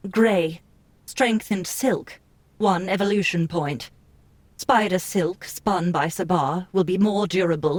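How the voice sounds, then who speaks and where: A woman reading in-game menu text for a fantasy audiobook in a crisp, list-like manner. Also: lowish voice, dry recording. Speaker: co-narrator reading game screens.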